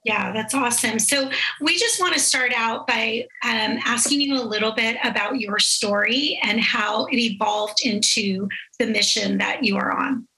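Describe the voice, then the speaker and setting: A woman's voice: on the high side, normal speed, bright, forceful tone, poor audio. A woman hosting an interview, affirming her guest and asking her to share her story. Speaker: interview host. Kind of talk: podcast interview.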